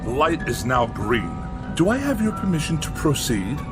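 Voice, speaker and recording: An actor playing a fussy, indignant middle-aged gentleman writer in radio drama style, with haughty, florid, formal diction. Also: on the high side, sing-song, moderate pace, music in the background. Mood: haughty irritation. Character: pompous, fussy, indignant older gentleman writer who hates machines. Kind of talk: classic radio drama acting.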